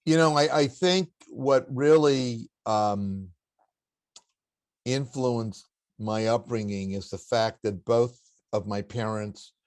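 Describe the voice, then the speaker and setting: Older baritone man telling family stories. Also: takes it slowly, no room sound. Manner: warm anecdotal storytelling with chuckles. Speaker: interview guest, spiritual teacher. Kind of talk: reflective storytelling interview.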